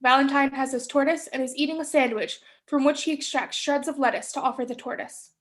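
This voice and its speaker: A woman's voice: high-pitched, steady tone, fairly quick, fairly bright tone. A young woman hosting a staged play reading and narrating its stage directions. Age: young adult.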